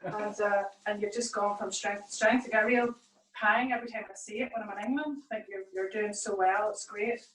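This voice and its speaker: A woman's voice: medium pitch, fairly even intonation, brisk, reverberant, far from the mic, rough recording. A woman chef hosting a live virtual event from her kitchen, effusive with praise and given to small stumbles. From Northern Ireland. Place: home kitchen. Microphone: video call.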